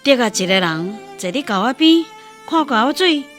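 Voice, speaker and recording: A woman's voice: fairly high pitch, sing-song, normal speed, a bit bright, faint music behind. A woman with a Taiwanese accent narrating a scripted story in a radio drama.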